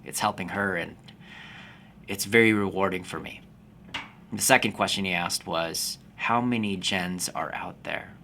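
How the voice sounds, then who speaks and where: A man in his forties, born in the Philippines, a fitness business coach talking to camera in a calm, slow, unhurried way. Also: low voice, a little hiss or hum. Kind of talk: casual talking-to-camera vlog.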